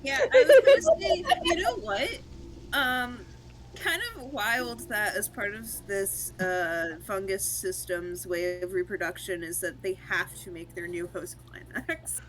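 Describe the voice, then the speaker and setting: A woman's voice: medium pitch, expressive, unhurried, rough recording. A woman streaming a game and commenting casually among friends, in a loose conversational way.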